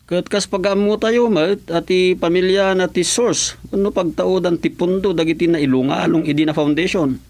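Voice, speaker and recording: A man's voice: high voice, steady tone, normal speed, few pauses, darkish tone. A male actor with an Ilocano Filipino accent playing a hesitant, stammering gossip in a comic radio drama. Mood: hesitant, gossipy. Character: hesitant gossiping gentleman, Mister Kaasay, bringing foundation news.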